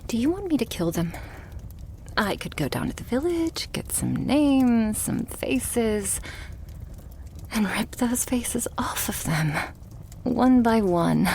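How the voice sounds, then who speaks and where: A woman playing a devoted, possessive and murderous vampire girlfriend in an intimate ASMR roleplay, slow and breathy, drifting into whispers close to the microphone. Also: on the high side, big pitch swings, a little mellow, a little hiss or hum, full character voice. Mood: menacing, vengeful.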